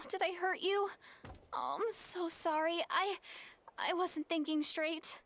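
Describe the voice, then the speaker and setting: A woman's voice: very high-pitched, fairly even intonation, takes it slowly, a bit bright, a little hiss or hum, full character voice. A woman voicing a timid, scared young woman in a video game, speaking hesitantly and apologetically. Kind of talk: game voice acting.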